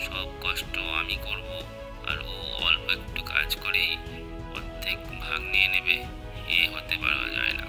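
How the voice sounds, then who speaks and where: Man as a low-voiced, complaining cartoon animal. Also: lively intonation, measured pace, gravelly, over music, heavily acted. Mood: indignant. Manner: complaining, insistent, repetitive. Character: aggrieved hard-working animal hunter pleading for justice. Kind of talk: cartoon voice acting for children.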